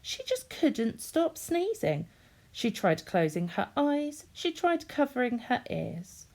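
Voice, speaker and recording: A woman's voice: high voice, big pitch swings, slow pace, a little mellow. A woman reading a children's story expressively, in the manner of a teacher speaking to young listeners.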